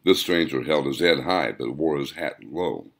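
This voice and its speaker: Low-voiced man narrating with wide pitch swings. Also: expressive, moderate pace, vocal fry, fairly bright tone. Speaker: author narrating their own audiobook. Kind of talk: scripted audiobook narration.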